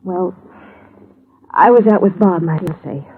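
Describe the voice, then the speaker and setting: A woman's voice: on the low side, a little slow, slight background noise, close to an everyday voice. An actress playing a grieving, polite young woman in a 1950s radio drama, earnest and subdued. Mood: somber recounting. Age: young adult. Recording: muffled, tinny old radio recording.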